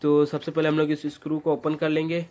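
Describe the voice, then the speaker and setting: A man's voice: on the high side, completely flat delivery, fast talker, a little mellow. A man narrating an informal hands-on repair tutorial, guiding viewers step by step in an instructional way. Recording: noticeable background noise.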